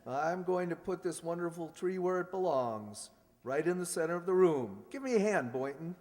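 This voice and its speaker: A man's voice: a little high, lively intonation, moderate pace, a little mellow, natural voice. A man playing a pompous, blustering school principal in an old-time radio sitcom, with a theatrical delivery. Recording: long hall reverb.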